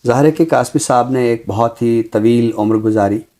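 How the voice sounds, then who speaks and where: A man with a Pakistani accent presenting a literary book review in a measured, scholarly, deliberate way. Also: medium pitch, mellow. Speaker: literary guest presenter introducing books.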